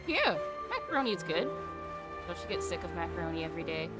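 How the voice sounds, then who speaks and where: Animated woman streaming a game. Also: on the low side, fast. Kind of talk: casual let's play commentary, reacting and answering characters. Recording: loud game music behind voice. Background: game music.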